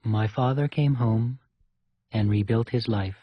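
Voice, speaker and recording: A man's voice: medium pitch, measured pace, dry recording. A man with a Canadian accent, reading a reflective scripted narration. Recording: dry, muffled audio with music behind. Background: music.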